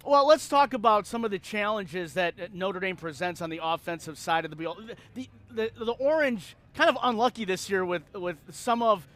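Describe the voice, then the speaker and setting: A man hosting a sports show and interviewing a guest, phrasing his questions in a slightly halting way. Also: high-pitched, animated, fairly bright tone.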